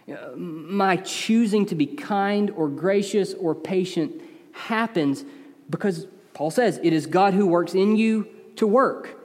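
A man's voice: high voice, darkish tone. A man with an East Tennessee accent preaching a sermon, earnest and conversational, with emphatic rises. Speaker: pastor. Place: church sanctuary. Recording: long reverb of a large hall.

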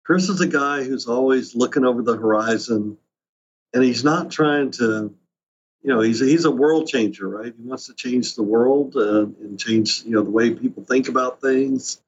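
A man chats casually as an interviewee. His voice is medium in pitch and somewhat rough around the edges. The audio is muffled and lo-fi. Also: normal speed.

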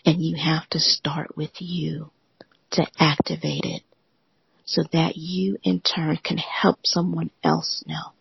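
A host of a live audio room giving a gentle, reassuring motivational talk in a soothing, often whispery voice. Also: medium pitch, gravelly, breathy edge, dry recording, lo-fi sound.

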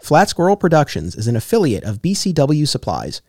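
A man's voice: a little high, huge pitch swings, a little fast, a little mellow, soft background music. A man hosting a podcast, reading sponsor spots and then interviewing a guest in an attentive, friendly way. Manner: friendly, attentive, clear presenter. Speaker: podcast host. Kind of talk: read ads then casual interview.

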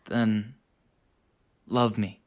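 A woman's voice: extremely low pitch, flat delivery, very slow, darkish tone, heavily acted. A woman playing an earnest, lovesick young man, a devoted suitor in a Shakespeare radio comedy. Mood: ardent, earnest.

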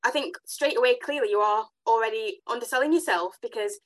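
A woman hosting a podcast, chatting casually and warmly affirming her guest with enthusiastic interjections. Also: on the high side, brisk. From Africa. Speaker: podcast host.

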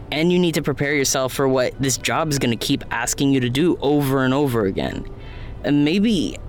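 An actor playing a worried, morally serious friend in an audio drama, cautioning in plain, measured, casual words. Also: male voice, fairly high pitch, natural voice. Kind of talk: naturalistic audio drama acting.

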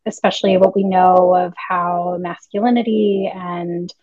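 A woman's voice: on the low side, flat delivery, measured pace, no echo, phone-quality sound. A woman co-hosting a casual fan discussion podcast, thinking aloud in long, analytical, wordy stretches. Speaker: podcast co-host.

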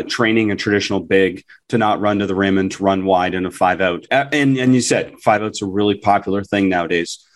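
A man hosting a basketball podcast, asking long, detailed questions. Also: lowish voice. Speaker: podcast host. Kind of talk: interview questions.

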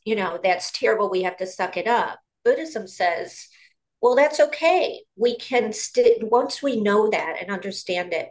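A woman from Queens, a meditation teacher and author, explaining her practice calmly and carefully on a video call. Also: mid-range voice, poor audio. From New York, Queens. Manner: calm, measured explanations with fillers. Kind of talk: interview guest. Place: outdoors or by a window in a loud city.

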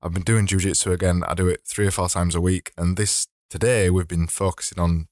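A man hosting a casual solo podcast, his voice slightly hoarse and fading, rambling and correcting himself as he talks. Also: sits low, steady tone, fairly quick, a little mellow, no echo, studio quality. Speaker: podcast host.

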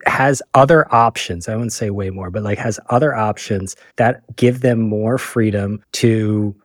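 A man's voice: on the low side, measured pace, no echo, studio quality. A man co-hosting a casual podcast chat, telling stories in a rambling way and correcting himself as he goes. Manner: rambling, self-correcting storyteller. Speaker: podcast co-host.

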